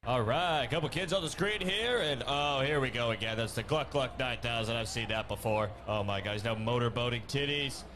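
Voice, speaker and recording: Over clear outdoor background noise, a man plays a wholesome baseball announcer at an ordinary male pitch. He narrates what the stadium screen shows in mock sports commentary, a clean-minded type who is easily scandalized. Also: a little fast, hardly any pauses, a bit bright, lightly acted.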